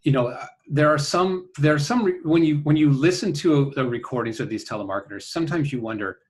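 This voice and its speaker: A man answering interview questions conversationally, earnest and halting, often checking in with a "right?". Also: on the high side. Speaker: interview guest, company founder.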